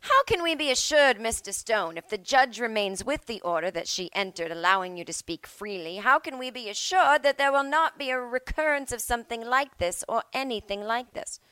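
A woman voicing a stern judge, asking slow pointed questions in a comedic dramatic reading. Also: on the high side, animated, sharp tone, clean tone, dry recording, voice pushed up.

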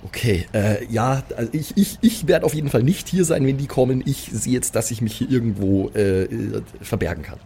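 A man's voice: mid-range voice, normal speed, hardly any pauses, warm tone, slight background noise. A man playing an improvised tabletop role-playing game, stammering and repeating his words. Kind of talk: improvised in-character role play, casual chat.

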